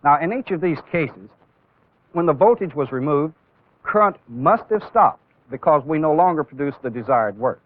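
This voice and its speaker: A man giving an instructional demonstration as a training-film instructor, explaining deliberately step by step and stressing key words, on an old film soundtrack. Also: fairly high pitch, animated, phone-quality sound.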